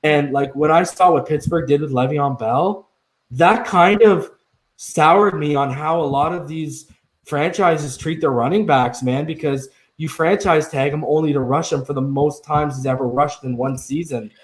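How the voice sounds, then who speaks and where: A man's voice: fairly high pitch, normal speed. A man co-hosting a casual sports talk show, emphatic and opinionated, often tagging "man" onto his points.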